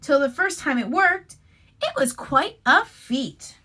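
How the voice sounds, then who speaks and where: Bright, high-pitched woman reading to children. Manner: expressive, deliberate phrasing, addressing young listeners. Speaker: teacher reading aloud to children. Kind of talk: animated picture-book read-aloud with friendly asides.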